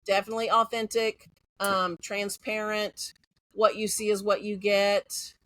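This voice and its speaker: A woman's voice: medium pitch, little pitch movement, unhurried, a bit bright, poor audio. A woman from Central Texas with a Texan accent, a guest talking frankly and confidently in a casual interview. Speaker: guest, educator.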